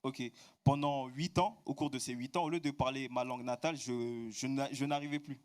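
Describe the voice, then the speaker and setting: A man's voice: mid-range voice, steady tone, brisk, a little mellow. A man with an ordinary mid-range voice interprets a sermon phrase by phrase. He hesitates often, filling gaps and correcting himself as he goes. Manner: hesitant, frequent fillers and self-corrections. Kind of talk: consecutive interpreting into French.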